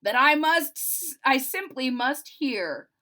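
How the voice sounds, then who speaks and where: Lady doing a sneaky gloating storybook villain guy, highish pitched, smug and taking his sweet time. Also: lively intonation, takes it slowly, bright, forceful tone, full character voice.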